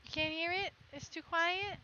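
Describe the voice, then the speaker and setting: A woman's voice: very high-pitched, fairly even intonation, moderate pace, pushed and bright, highs cut off, slight background noise. A woman livestreamer reading a storybook tale aloud and chatting with her viewers in between. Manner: breaks off to talk with viewers.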